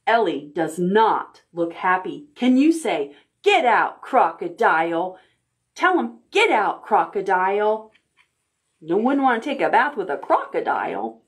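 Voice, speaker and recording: Storytime host: middle-aged woman, medium pitch, unhurried, expressive delivery aimed at very young children. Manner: simple, expressive talk addressed to toddlers. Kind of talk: children's storytime read-aloud. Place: indoor room, recorded on video.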